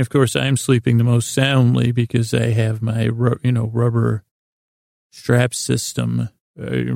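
A man hosting a sleep podcast, rambling in a meandering, hesitant, deliberate way with a self-deprecating streak. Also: mid-range voice, gravelly, mellow, no room sound, studio quality. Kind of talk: rambling bedtime monologue.

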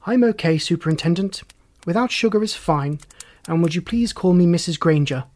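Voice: medium pitch, normal speed, darkish tone, lightly acted. A narrator voicing a composed, authoritative well-to-do woman in an audiobook, speaking formally and precisely. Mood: firm, corrective.

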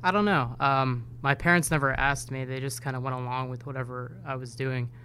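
A young man from upstate New York talks haltingly, padding his thoughts with ums and uhs. His pitch stays flat and even throughout. A faint hum sits under the recording. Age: 20s. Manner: hesitant, filler-heavy speech. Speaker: newspaper intern. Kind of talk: casual podcast conversation.

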